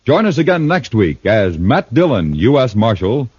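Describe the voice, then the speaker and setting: A man's voice: mid-range voice, very animated, moderate pace, darkish tone, slightly rough. A male announcer reading the closing credits of a 1950s radio drama in a formal, declamatory way. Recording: dull vintage radio recording.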